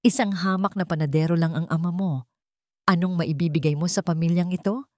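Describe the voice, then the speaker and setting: A woman's voice: on the low side, fairly even intonation, brisk, a little mellow, dry recording, close to an everyday voice. A woman narrator plays a rich old patriarch in a dramatic audio story. The delivery is cold and cutting, with scorn for whoever is listening. The sound is very dry. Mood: cold contempt.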